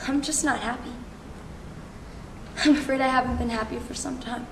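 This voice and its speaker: A young woman playing a flustered, insecure student making a halting, self-conscious speech on stage. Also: high voice, lively intonation. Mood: embarrassed, unhappy. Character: flustered, insecure schoolgirl giving an unprepared speech. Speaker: student actor. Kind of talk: theatrical stage monologue. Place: theatre workshop performance space. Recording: echoey room from far off, faint noise.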